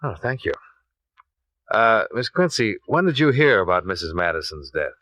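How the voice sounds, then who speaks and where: A man's voice: fairly low pitch, big pitch swings, moderate pace, bright, forceful tone, no room sound, studio quality. An American man playing a cool, businesslike insurance investigator in a 1950s radio drama, clipped and matter-of-fact, on an old recording. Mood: polite questioning. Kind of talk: 1950s radio drama acting, first-person narration.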